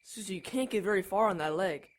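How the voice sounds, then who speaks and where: Audio drama role: woman as protective teen boy, mid-pitched for a woman, earnest, urgent and hesitant. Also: expressive, fast, lightly acted. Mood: hesitant, concerned. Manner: hesitant, urgent, literal-minded. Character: anxious, earnest, protective teenage brother.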